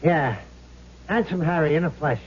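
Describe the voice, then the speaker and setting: A man acts out a cocky gangster ghost bent on revenge, giving orders in brash slang. His voice sits a little high. The old radio recording is muffled, with faint noise. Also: normal speed, lightly acted. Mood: bossy, cocky. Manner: brash, bossy, sneering tough-guy slang. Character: cocky vengeful ghost gangster possessing another man's body. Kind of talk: old-time radio drama acting.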